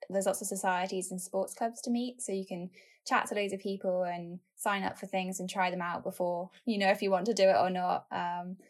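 A woman's voice: mid-range voice, fairly even intonation, brisk. A young woman hosting a university podcast, chatting in a friendly, casual way. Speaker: host, student recruitment officer.

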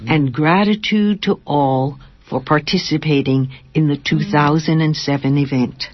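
A woman in a community radio drama, speaking in a measured, reflective way. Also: low voice. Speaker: radio drama cast member. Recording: dull lo-fi radio with faint music.

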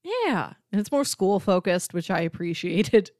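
A woman's voice: mid-range voice, very animated, a little slow, a little mellow, dry recording, studio quality. A woman chatting casually about pop culture as a podcast co-host.

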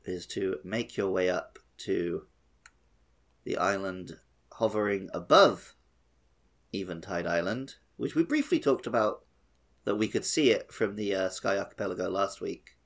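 A man's voice: low-pitched, unhurried, creaky. A man co-hosting a gaming podcast in casual conversation. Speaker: podcast co-host.

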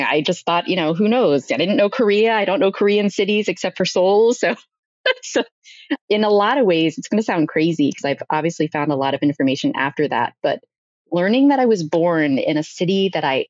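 A woman's voice: low voice, no echo, muffled recording. A woman telling a personal story as a podcast guest, conversationally, often acting out bits of dialogue.

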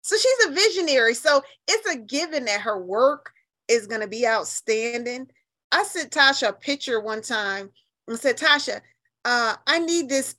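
Outspoken American woman with a higher-pitched voice. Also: bright, forceful tone. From New Haven, Connecticut, US. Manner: outspoken, emphatic, colloquial. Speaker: interview guest. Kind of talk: casual conversational interview.

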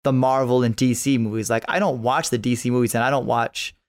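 A man's voice: medium pitch, steady tone, brisk. A man co-hosting a podcast, giving his opinions in a casual, rambling way with "like" scattered through his talk. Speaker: podcast co-host.

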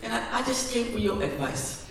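A man voicing a gentle, clever, well-off mother who speaks politely. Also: extremely high pitch, lively intonation, normal speed, vocal fry, slight background noise, voice pushed up, exaggerated voice. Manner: soft, polite. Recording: echoey room from far off, faint music.

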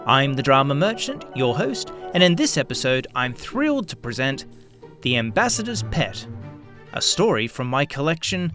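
A man's voice: medium pitch, fairly bright tone. Guy narrating a scripted pulp story, mid-range voice, faint music behind him. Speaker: host and narrator.

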